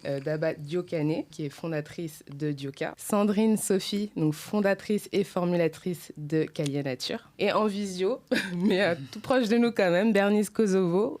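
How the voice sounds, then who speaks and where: A woman's voice: on the low side, a little slow, darkish tone. A woman hosting a roundtable podcast, introducing guests with a hesitant delivery full of fillers. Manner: hesitant, many fillers and "donc". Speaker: podcast host.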